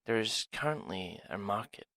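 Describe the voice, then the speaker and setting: A man playing a sly, scheming dragon in an ASMR roleplay, speaking in a hushed, deliberate, confiding manner. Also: fairly low pitch, animated, dry recording, clean studio sound. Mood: assured, coaxing. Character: sly, scheming male dragon plotting a museum heist. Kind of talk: ASMR roleplay audio drama, one side of a dialogue.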